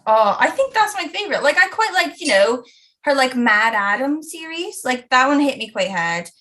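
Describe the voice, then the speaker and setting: A woman's voice: high-pitched, sing-song, moderate pace, bright, forceful tone. Gushing young woman. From Wales. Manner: gushing, lots of "like" fillers. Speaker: booktuber co-host. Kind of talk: casual book chat.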